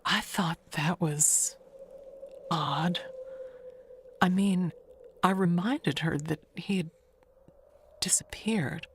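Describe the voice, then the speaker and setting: A woman's voice: lowish voice, slight background noise, heavily acted. A woman playing a chatty older neighbor in an audio mystery, rambling confidingly and correcting herself with a wistful edge. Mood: uneasy.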